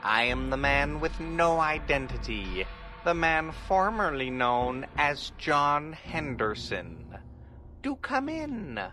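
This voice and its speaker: A man playing a mysterious horror show host, theatrical and ominous, with drawn-out emphasis in a scripted introduction. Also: a little high, very animated. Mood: ominous, welcoming. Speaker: host of an old time radio show. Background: music behind the voice.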